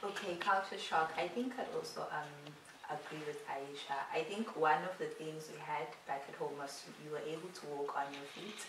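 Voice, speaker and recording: A woman with a very low voice gives a frank, measured opinion. She is recorded from a distance in an echoey room with clear background noise. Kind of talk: casual chat.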